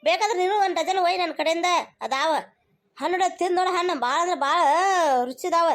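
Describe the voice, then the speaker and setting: A woman's voice: very high voice, fairly quick, fairly bright tone, faint music behind. A woman voicing a bossy, stubborn, greedy mother-in-law in a cartoon comedy, scolding insistently with a North Karnataka accent. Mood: stubborn, chatty.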